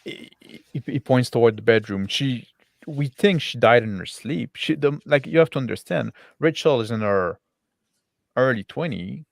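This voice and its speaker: A man improvising as game master in tabletop roleplay, halting and often repeating and rephrasing his words. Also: medium pitch, lively intonation, vocal fry, dry recording, clean studio sound.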